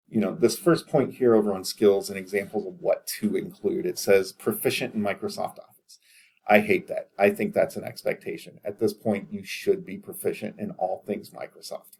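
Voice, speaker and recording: Male lecturer, 30s, low gravelly voice, plain-spoken, keeps asking the class "okay?". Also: darkish tone. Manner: casual, direct, repeats "okay?" to check in. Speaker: accounting lecturer.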